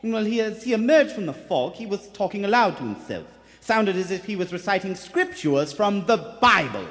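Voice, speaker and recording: Eager, respectful courtroom witness played by an actor, lively radio play delivery, reverberant hall. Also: medium pitch, moderate pace, lightly acted. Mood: grave, dramatic. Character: earnest, dramatic courtroom eyewitness, deferential. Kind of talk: live radio play acting. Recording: live theater hall, faint music.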